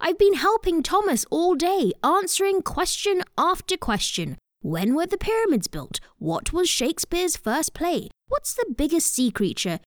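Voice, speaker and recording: A know-it-all digital assistant robot with a very high, animated voice. She scolds in grumpy exasperation, children's audio drama style, in a dry studio sound. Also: measured pace, few pauses, no echo, full character voice. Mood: exasperated.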